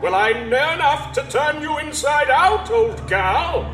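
A brash, folksy suitor in a broad stage comedy. His high voice swings widely and cockily, with slow theatrical timing. It echoes as if on a theatre stage. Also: expressive. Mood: cocky, defiant. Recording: echoey theatre, music behind.

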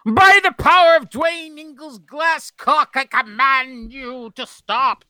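A game master voicing an imposing warrior woman with a sword, giving a loud, drawn-out command. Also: high-pitched, no echo, studio quality, voice pushed up, full character voice.

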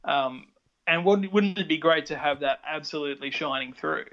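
A man's voice: fairly high pitch, moderate pace, a bit bright. An older man with an Australian accent, chatting casually on a podcast, rambling with many restarts. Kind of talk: casual chat. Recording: dull lo-fi sound.

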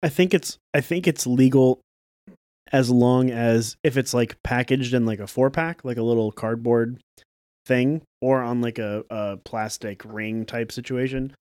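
A man's voice: mid-range voice, steady tone, measured pace, darkish tone, no echo, studio quality. A man co-hosting a casual chat, explaining things with hedges and filler words. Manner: hedging, explains with lots of "like". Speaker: podcast co-host.

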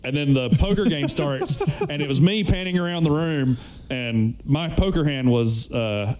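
A man's voice: a little high, moderate pace, darkish tone. A man in his thirties or forties telling anecdotes in casual podcast chat, in a jokey, chuckly manner. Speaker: podcast crew member telling stories.

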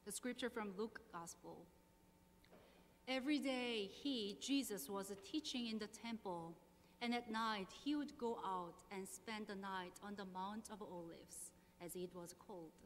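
A woman pastor in her thirties from South Korea, speaking English with a Korean accent, leading prayer, reading scripture and preaching in a measured, earnest way. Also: mid-range voice. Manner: measured, earnest, slow deliberate phrasing. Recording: long hall reverb, faint hum.

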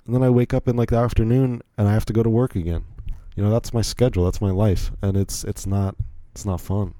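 A man's voice: fairly low pitch, fairly even intonation, quick pace, dark tone, no room sound, poor audio. A young man from Virginia hosting a solo podcast, rambling casually with frequent restarts and self-deprecating asides. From Virginia, USA. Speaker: podcast host.

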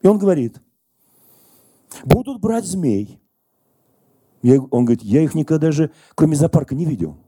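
A middle-aged man preaching a sermon as pastor, telling stories and questioning the congregation in a lively conversational way. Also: fairly high pitch, normal speed, pauses often, slightly rough, dry recording. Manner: conversational, animated storytelling to congregation.

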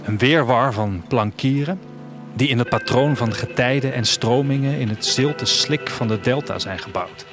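A male actor voices a restless, wistful traveller in an audio drama. He tells his story reflectively in the first person, with a mid-range male voice. Music plays clearly behind him. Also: mid-range voice, normal speed, close to an everyday voice. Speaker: narrator.

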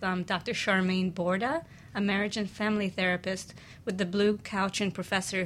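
Woman co-host for talk radio, mid-range voice, semi-scripted delivery, clear background noise. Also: medium pitch. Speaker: guest co-host.

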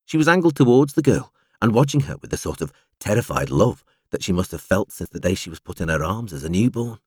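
Man, lowish pitch, very varied intonation, scripted fiction narration, measured expressive storytelling, dry sound. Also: slightly rough, no room sound. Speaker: audiobook narrator.

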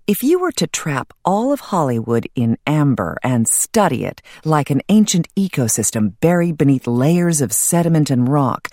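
A woman reading a nonfiction audiobook as narrator, in a measured, articulate and wry way. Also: low-pitched, darkish tone, no echo, clean studio sound.

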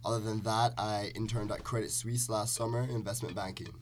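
Young guy from jamaica, kinda deep voice, student talking in an interview, background noise. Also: steady tone, brisk. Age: young adult. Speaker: student guest. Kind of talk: conversational interview answers. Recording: clearly audible background noise.

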